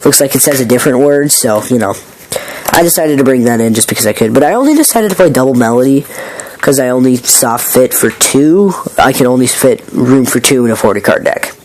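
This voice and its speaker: A man who is sick with a raspy voice, casually walking through his card game deck in a rambling, filler-heavy, apologetic way. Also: mid-range voice, lively intonation, brisk, a little mellow, a little hiss or hum, rough recording.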